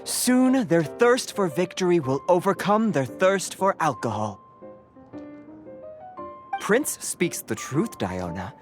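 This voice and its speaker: Pompous, flowery cat devotee. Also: male voice, high voice, sing-song, takes it slowly, faint music behind, full character voice. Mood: lecturing. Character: grandiose young man devoted to his cat.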